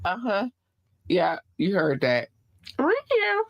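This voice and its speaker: A man's voice: high voice, wildly swooping pitch, takes it slowly, creaky, no echo, lo-fi sound. A man hosting a live podcast in a laid-back, chatty way, audibly congested. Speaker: podcast host. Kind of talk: casual live podcast talk.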